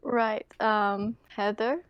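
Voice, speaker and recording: A woman's voice: medium pitch, fairly even intonation, smooth, no room sound, highs cut off. A woman playing a tabletop roleplaying game, speaking hesitantly as she improvises dialogue and narration. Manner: hesitant, halting delivery.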